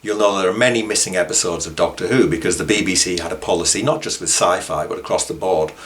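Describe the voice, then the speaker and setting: A man's voice: low-pitched, quick pace, fairly bright tone. A man presenting informal documentary commentary, mixing scripted points with conversational asides. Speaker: author and presenter.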